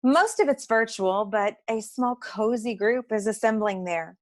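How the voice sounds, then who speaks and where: A woman's voice: on the high side, expressive, measured pace. An actress playing a poised, witty woman who works beside a noir detective, speaking in an arch, formal, articulate way in a comic radio play. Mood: brisk, assured.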